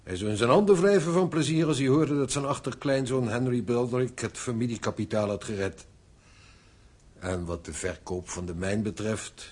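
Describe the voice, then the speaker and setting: A man acting in a radio drama as a shrewd, sober older father-in-law, husky, with a measured, knowing and kindly manner. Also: medium pitch, a little mellow, close to an everyday voice.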